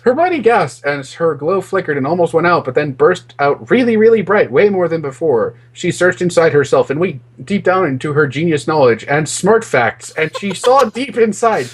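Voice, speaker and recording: A man's voice: a little high, big pitch swings, moderate pace, few pauses, slightly rough. A man giving a comic dramatic reading of fan fiction in a mock-earnest manner, as a podcast host. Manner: mock-earnest, often breaking into laughter.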